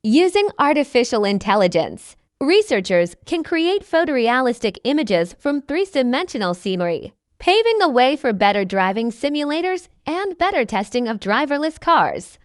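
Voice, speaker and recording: A woman reading a short exam passage aloud in a measured, deliberate way. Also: high voice, very animated, dry recording, clean studio sound. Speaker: sample test reader.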